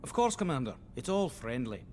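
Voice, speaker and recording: An actor playing a friendly, cheeky young starship engineer in a video game. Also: male voice, medium pitch, sing-song, fairly quick, a little mellow, a little hiss or hum, lightly acted. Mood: cheerful, joking. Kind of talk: video game cutscene acting.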